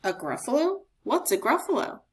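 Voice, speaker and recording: A woman's voice: a little high, very animated, normal speed. A woman voicing a sly, easily frightened storybook snake, coaxing and exclaiming. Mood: puzzled.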